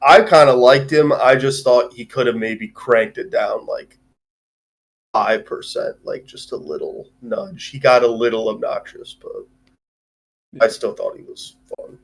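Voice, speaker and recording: A man chats casually as a livestream co-host. His delivery is loose and rambling, peppered with swearing, as he gives his opinions in an ordinary mid-pitched voice. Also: steady tone, unhurried, low-quality recording. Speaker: stream co-host reviewing a movie. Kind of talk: casual movie discussion on a livestream.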